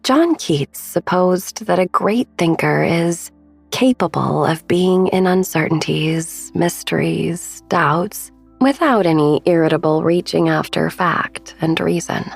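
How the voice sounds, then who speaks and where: Gravelly, husky woman guiding sleep meditation. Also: fairly low pitch, faint music behind. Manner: gentle, unhurried, intimate. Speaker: podcast host and meditation guide. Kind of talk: soothing sleep meditation narration.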